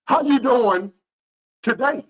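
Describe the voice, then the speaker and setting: A man in his fifties giving earnest, preachy motivational talk to his viewers, repeating key phrases and swinging between loud and hushed. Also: very high voice, slow. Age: 50s. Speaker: motivational vlogger.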